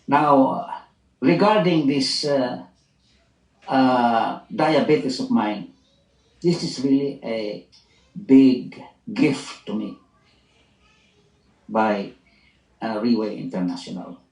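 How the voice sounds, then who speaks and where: Man, 60s, doctor, testimonial, unhurried, hesitant fillers, roomy echo. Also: medium pitch, darkish tone. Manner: halting, many uh fillers. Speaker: doctor and university teacher. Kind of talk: product testimonial.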